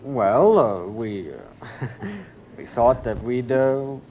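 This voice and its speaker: An awkward teenage boy in a vintage radio comedy. He drawls slowly with a voice that jumps up and down. The sound is muffled and hissy. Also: mid-range voice, big pitch swings, exaggerated voice. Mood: flustered. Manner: halting, drawling. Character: awkward, gee-whiz teenage son. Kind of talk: vintage radio sitcom acting.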